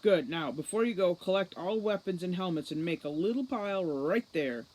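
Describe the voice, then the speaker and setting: A woman's voice: lowish voice, expressive, moderate pace, a little mellow. Woman as a curt, commanding big bodyguard. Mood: commanding, impatient. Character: huge male manservant bodyguard, commanding, curt, dryly unimpressed.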